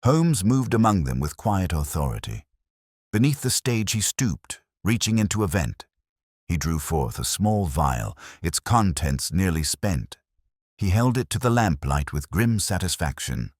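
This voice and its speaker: A man reading a detective story as scripted narration, in a measured, formal and theatrical manner. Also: low voice, very animated, a little mellow, no room sound, studio quality. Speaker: audiobook narrator.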